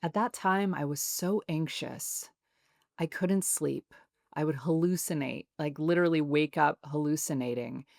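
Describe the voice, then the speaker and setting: A woman in her thirties, a guest author speaking in a calm, soothing, measured way in a reflective interview. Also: low voice, creaky, dry recording, clean studio sound.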